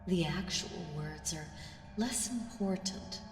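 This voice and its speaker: A woman voicing an ancient female evil spirit in an ASMR audio roleplay, seductive, teasing and condescending, with breathy half-whispered delivery. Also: on the low side, slow pace. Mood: condescending, explaining. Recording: echoey reverberant voice over music. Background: music.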